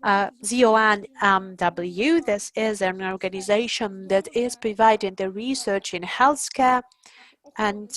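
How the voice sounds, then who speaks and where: A woman's voice: medium pitch, fairly bright tone, low-quality recording. Earnest woman. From Ukraine. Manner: earnest, pauses to search for words. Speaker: artist and designer giving a presentation. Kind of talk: prepared talk to an audience.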